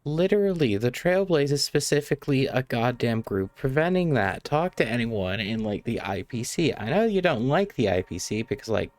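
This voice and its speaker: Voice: mid-range voice, big pitch swings, moderate pace, few pauses, darkish tone, no echo. Sweary, exasperated game streamer, dry close mic. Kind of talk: live gameplay commentary, casual.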